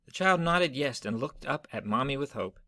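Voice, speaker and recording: Man reading a picture book for kids, bright voice, no room echo at all. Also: medium pitch, lively intonation, normal speed. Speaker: storyteller. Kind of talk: children's storybook read-aloud.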